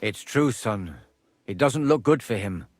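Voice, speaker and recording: An actor playing a guarded older castle priest who speaks in a measured, evasive way in game dialogue. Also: male voice, fairly low pitch, expressive, darkish tone, no room sound.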